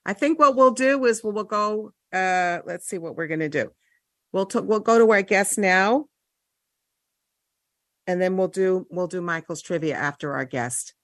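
A woman hosts a talk radio show from a studio. She talks in a halting way, restarting sentences as she steers the talk. The sound is dry and close. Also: mid-range voice, a bit bright, no room sound.

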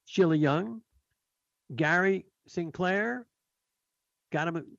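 A man's voice: sits high, takes it slowly, gravelly, a bit bright, dry recording, highs cut off. A man hosting a radio talk show, thanking his co-hosts and team in a loose, rambling way. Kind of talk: casual radio chat.

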